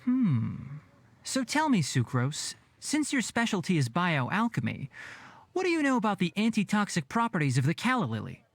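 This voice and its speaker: A brisk, lively young scholar. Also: male voice, sits high, vocal fry, a little hiss or hum, exaggerated voice. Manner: brisk, knowledgeable and dry. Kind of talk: game cutscene voice acting.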